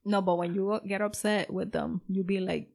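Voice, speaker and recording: Teasing young woman with gravelly voice. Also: medium pitch, flat delivery, normal speed, a little mellow. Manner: switches between English and Spanish, teasing. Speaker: podcast co-host. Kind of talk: casual bilingual chat.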